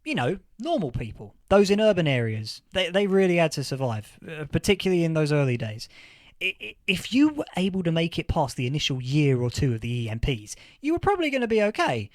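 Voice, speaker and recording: Man playing a higher-voiced, earnest professor. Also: very animated, a little fast, no room sound. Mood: emphatic, explanatory. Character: male history professor of a post-apocalyptic future, thoughtful, earnest. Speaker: interviewed professor. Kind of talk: acted interview, lecturing explanation.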